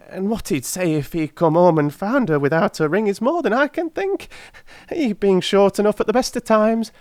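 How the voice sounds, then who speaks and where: High-pitched, garrulous old London crone. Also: male voice, a little mellow, no echo, studio quality. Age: older. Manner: mumbling, rambling, effusively grateful. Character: mumbling old working-class London crone, garrulous, wheedling.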